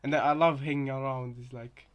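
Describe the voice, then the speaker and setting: A man giving casual reaction commentary on game voice lines, rambling and halting, often repeating his phrases. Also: mid-range voice, steady tone, lo-fi sound. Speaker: reaction video host.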